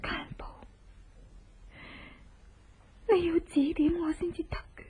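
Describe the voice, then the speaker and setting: An actress with a Hong Kong Cantonese accent plays a determined, desperate widow bent on revenge in an intense, melodramatic horror radio drama. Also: female voice, very high-pitched, flat delivery, fairly quick, warm tone, a little hiss or hum. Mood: brooding, frustrated. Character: vengeful widow in hiding, determined, desperate, posing as beggar. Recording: muffled lo-fi radio drama recording.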